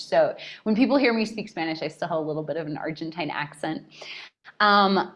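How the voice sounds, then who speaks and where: An American woman in her forties, a podcast guest telling stories in a casual, animated way and often laughing. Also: fairly low pitch. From United States. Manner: animated and expressive, breaks into laughter. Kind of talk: casual conversational storytelling.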